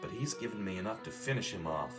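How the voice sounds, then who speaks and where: An actor playing an earnest, righteous former journalist investigating a killing in a radio drama. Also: male voice, fairly low pitch, animated, fast talker, lightly acted. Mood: weary, determined. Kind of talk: audio drama acting in vintage radio manner. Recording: music laid under the voice. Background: plucked guitar music.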